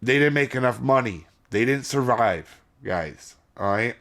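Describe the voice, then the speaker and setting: A man's voice: mid-range voice, animated, unhurried, fairly bright tone. A man hosting a gaming channel, chatting casually to his viewers while losing his voice and rambling from point to point. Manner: rambling, addresses viewers as guys. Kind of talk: casual video commentary.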